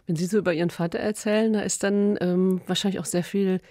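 A woman interviewer with a low, mellow voice asks probing questions and gently steers her guest toward the next answer. She is recorded close and dry. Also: steady tone, fast, dry recording. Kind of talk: radio interview.

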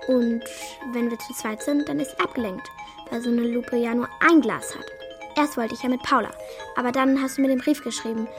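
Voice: mid-range voice, steady tone, brisk, over music, close to an everyday voice. A performer playing a bold, talkative schoolgirl with a direct manner in a children's radio play. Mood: forthright chatty. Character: Hülya, bold, chatty, forthright schoolgirl.

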